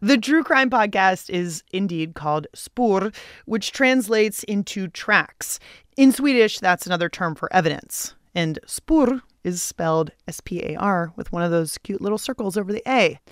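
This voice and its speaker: A woman's voice: mid-range voice, lively intonation, moderate pace, dry recording, studio quality. A woman with an American accent hosting a radio show, reading scripted narration in a playful, conversational way. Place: dry studio.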